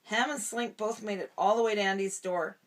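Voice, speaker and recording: A woman's voice: mid-range voice, steady tone, a little fast. Woman, mid-range voice, storybook read-aloud to a young child with chatty asides. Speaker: parent reading a storybook to a toddler.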